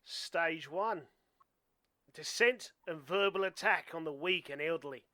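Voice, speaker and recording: A man plays an earnest young doomsayer in a radio play, blunt and self-reliant. His voice is high and sharp, recorded very dry, with a clinical, matter-of-fact delivery. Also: a little slow, no room sound. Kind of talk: amateur radio play acting.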